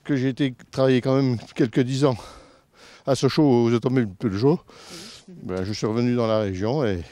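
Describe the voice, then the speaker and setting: Volunteer guy from the vosges, short plain answers, warm dark voice, dry sound. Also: medium pitch, moderate pace, no room sound. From Vosges, France. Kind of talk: casual interview answers. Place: outdoors in a forest.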